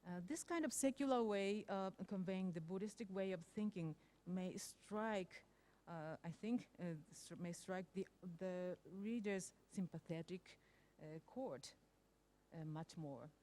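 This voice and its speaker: A woman professor reads out her conference paper in a mellow, dark-toned voice. She pauses often, restarting words as she searches for phrasing, and her delivery stays measured and careful. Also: lowish voice. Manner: careful, hesitant, many fillers and restarts. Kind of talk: conference presentation.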